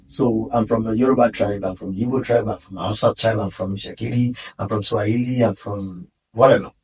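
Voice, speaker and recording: A man's voice: fairly low pitch, steady tone, a little fast, a little mellow, far from the mic, low-quality recording. A Nigerian man, founder of a podcast studio, earnestly urging listeners to speak up in a casual interview. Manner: earnest, exhorting.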